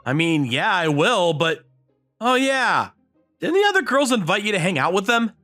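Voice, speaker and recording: Guy doing a whiny dramatic anime high school boy, bright voice, swings all over, music behind. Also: sing-song, moderate pace, heavily acted. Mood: flustered. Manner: exasperated, dramatic outbursts and glum inner monologue. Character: excitable, self-pitying teenage high school boy, envious and girl-shy. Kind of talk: comic anime-style dub acting with narration.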